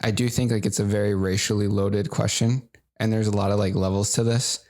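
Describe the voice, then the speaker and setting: A man's voice: fairly low pitch, level tone, a little fast, a little mellow. Probing man podcast co-host. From Rhode Island, United States. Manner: probing, questioning, talks in bursts. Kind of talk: casual podcast chat.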